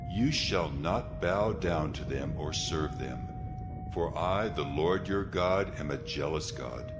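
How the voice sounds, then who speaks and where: A man's voice: very deep voice, animated, takes it slowly, heavily acted. A man playing God as a stern, commanding deity, solemnly declaring laws in a dramatized scripture recording. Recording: music behind the voice.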